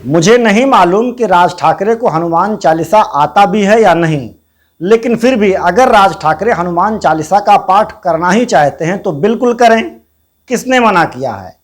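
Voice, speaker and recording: A man's voice: high voice, animated, moderate pace. A man delivering scripted political commentary in a formal, rhetorical, pointed manner. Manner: rhetorical, pointed questioning, formal Urdu-laced wording. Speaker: political commentary channel host.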